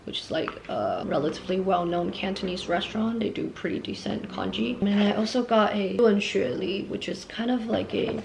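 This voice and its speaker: A sick, hoarse woman speaks softly into her camera from her room at home, her scratchy voice breaking into whispers. The fry in her voice comes out more than usual. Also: lively intonation, moderate pace, few pauses. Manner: quiet and low-key, slipping into whispers. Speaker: vlogger.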